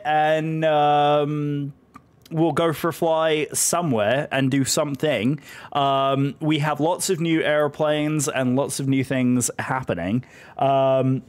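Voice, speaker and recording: A British man, hoarse from a voice that is still recovering, chatting casually and effusively with viewers on a live stream. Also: on the high side. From Britain.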